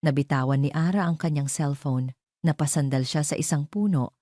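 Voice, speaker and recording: A woman's voice: low-pitched, normal speed, mellow, dry recording, studio quality. A woman narrating a scripted romantic drama as a storyteller.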